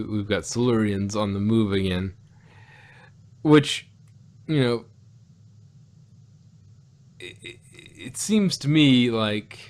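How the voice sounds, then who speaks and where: A man co-hosting a casual review podcast, rambling along haltingly and often repeating words as he goes. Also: fairly low pitch, a little mellow. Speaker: podcast co-host reviewing audio dramas.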